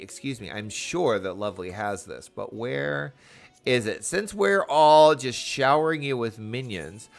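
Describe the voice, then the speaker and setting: A man's voice: mid-range voice, gravelly, fairly bright tone. A man doing casual livestream game commentary, chatty and playful with sing-song asides. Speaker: game streamer.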